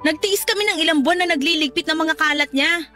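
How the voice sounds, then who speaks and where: A woman's voice: very high-pitched, fast, sharp tone, faint music behind. A Filipino actress playing a jealous, fed-up girlfriend, blunt and accusing, in a Tagalog radio drama. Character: jealous, fed-up girlfriend sharing one man.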